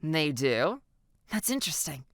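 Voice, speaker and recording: A woman's voice: on the low side, very animated, normal speed, no room sound, studio quality. A woman voicing a wry, teasing teenage girl who speaks in sardonic, murmured asides in a story. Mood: teasing, curious.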